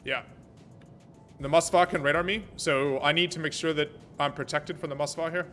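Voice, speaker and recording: A man's voice: fairly high pitch, steady tone, moderate pace, a bit bright, faint music behind. Chatty American man streaming a game. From American, born in Germany. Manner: chatty, thinks aloud, laughs often. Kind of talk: live gameplay commentary and chat. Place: Vienna home setup.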